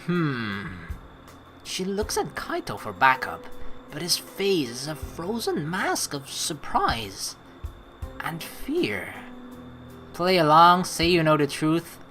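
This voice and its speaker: Animated, low-pitched woman gamer. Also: takes it slowly, rough recording. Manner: animated, rambling, casually sweary. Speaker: let's play host. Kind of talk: game playthrough commentary, reading text aloud. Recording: game music behind the voice.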